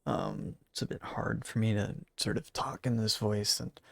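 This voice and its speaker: Male gaming vlogger, low gravelly voice, quiet and a little congested, casual rambling commentary. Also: sing-song, slow, dry recording, studio quality. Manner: quiet, rambling with fillers and restarts. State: raspy and congested, late at night. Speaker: gaming YouTuber.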